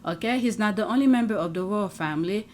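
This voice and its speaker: A woman's voice: mid-range voice, fast talker, slight background noise. A woman whose first language is not English, hosting a casual commentary show and reacting to clips with frequent laughter. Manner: animated, laughs often, talks to her audience. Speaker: host.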